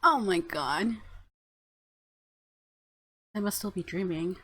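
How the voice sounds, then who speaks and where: Expressive woman narrating a game. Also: lowish voice, takes it slowly, slightly rough. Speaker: game player reading narration aloud. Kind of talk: visual novel playthrough, reading and reacting.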